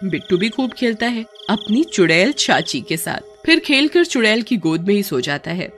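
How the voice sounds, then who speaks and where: A woman's voice: mid-range voice, big pitch swings, moderate pace, fairly bright tone, soft background music. A woman narrating a scripted story expressively, as a storyteller.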